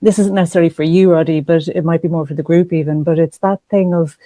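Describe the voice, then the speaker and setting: A woman's voice: lowish voice, steady tone, quick pace, mellow, dry recording. An Irish woman in a book club over a video call, speaking hesitantly with many "like" and "um" fillers. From Ireland.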